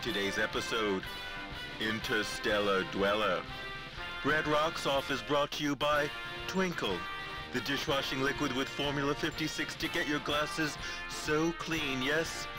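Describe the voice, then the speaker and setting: An actor playing a grandiose old-time radio serial announcer, selling with theatrical salesmanship. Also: male voice, mid-range voice, a little slow, close to an everyday voice. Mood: grandiose hype. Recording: loud music under the voice. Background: music.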